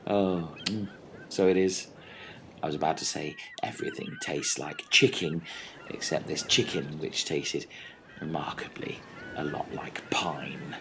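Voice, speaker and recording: A man's voice: a bass voice, wildly swooping pitch, measured pace, full character voice. A man playing a hesitant, polite, bumbling castaway who repeats himself, in a comic radio play. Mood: bemused. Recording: noisy outdoor ambience with birds.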